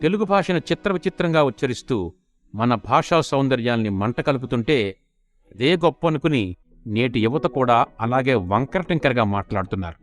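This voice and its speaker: A grave, disembodied female celestial voice, acted out by a man in a comedy audio story. It scolds and warns in formal literary language, declaiming sternly with a lively rise and fall at a middling male pitch. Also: normal speed, close to an everyday voice. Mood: stern, threatening.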